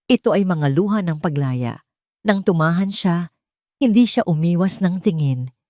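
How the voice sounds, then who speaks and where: Filipino lady reading a romance drama story, lowish voice, really dry sound. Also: dark tone, dry recording. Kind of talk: scripted dramatic narration of a romance audio story.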